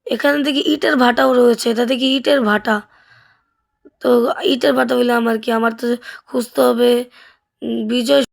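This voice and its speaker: A woman's voice: a little high, fairly even intonation, normal speed, gravelly, pauses often. A woman with a Bangladeshi accent giving casual, chatty gameplay commentary, thinking aloud and asking questions as the host. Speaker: gaming video host.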